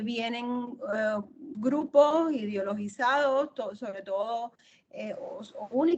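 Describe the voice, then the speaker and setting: High-voiced middle-aged woman from Cuba, emphatic. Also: dull audio. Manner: emphatic, repeats phrases for stress. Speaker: interviewed author and historian. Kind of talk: interview answers, explanatory account.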